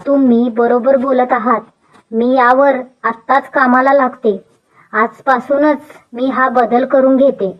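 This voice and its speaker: An earnest young daughter-in-law in a moral story cartoon, acted by a woman. Her high voice moves at a slow, careful pace. The sound is slightly dull and lo-fi. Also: steady tone. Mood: agreeable. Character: earnest young city-bred daughter-in-law, contrite and willing. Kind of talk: animated moral story dubbing.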